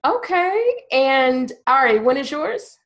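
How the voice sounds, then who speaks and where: A woman hosting a casual podcast chat. Her voice sits a little high, and she comes across upbeat and talkative, often laughing between her questions. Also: sing-song, slow. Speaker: podcast host.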